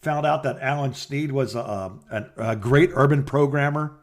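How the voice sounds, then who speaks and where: A middle-aged man co-hosting a podcast, telling anecdotes in a casual, halting and rambling way. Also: mid-range voice. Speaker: podcast co-host. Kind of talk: casual phone chat, storytelling.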